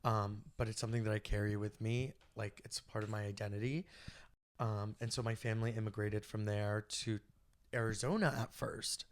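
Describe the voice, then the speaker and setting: A young man from Iraq, raised in the United States, chatting as a podcast guest in an animated, gossipy way with lots of "like". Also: on the low side, normal speed. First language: Arabic. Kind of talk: casual chat.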